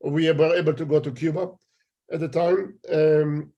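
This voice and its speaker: An older man with an Israeli accent, answering interview questions in halting English, often searching for words. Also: on the high side, fairly even intonation, gravelly, mellow, lo-fi sound. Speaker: guest. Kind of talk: interview conversation.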